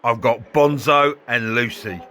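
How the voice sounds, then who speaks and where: A man's voice: mid-range voice, a little slow, pushed and bright. A man acting a desperate, menacing drug smuggler in an amateur radio play, terse and dismissive. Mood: cocky, defiant. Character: desperate drug smuggler holding a child at knifepoint.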